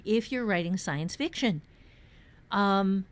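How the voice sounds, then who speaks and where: A woman hosts a casual writing talk in a mid-pitched voice. She explains like a teacher, leaning on key words and dropping in playful asides. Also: animated, slow. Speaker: writing podcast host and teacher.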